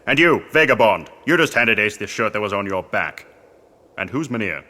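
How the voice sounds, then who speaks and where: A pompous, idle archaeologist played by a man in comic audio theatre. He grumbles fussily in a slightly low but sharp, forceful voice. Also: expressive, normal speed. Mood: indignant. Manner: fussy, self-important.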